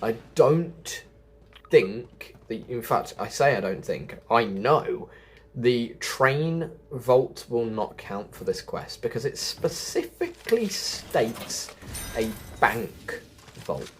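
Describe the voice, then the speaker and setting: A man's voice: medium pitch, expressive, slow pace, slight background noise. A man giving chatty game quest walkthrough commentary as a host, often correcting himself as he goes. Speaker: gaming video host.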